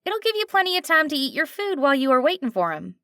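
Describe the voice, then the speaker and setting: A friendly, worn-out cashier in her forties, as a woman reader puts her on, with a slight nasal twang. She talks in a folksy, reassuring way, pitched well above the reader's own voice. The recording is close and dry. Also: big pitch swings, fast talker, bright, forceful tone, dry recording, full character voice. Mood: kindly reassuring. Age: 40s. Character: friendly tired middle-aged woman cashier.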